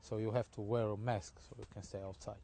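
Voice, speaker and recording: Halting man with a Romanian accent. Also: fairly low pitch, level tone, vocal fry, dark tone, slight background noise. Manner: halting, repeats words while searching for English. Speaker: interviewee. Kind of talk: interview answers.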